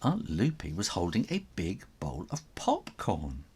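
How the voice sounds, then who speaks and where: A man reading a children's story aloud as its author, theatrically, with dramatic pauses and whispery emphasis. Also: low-pitched, huge pitch swings, darkish tone, slightly rough.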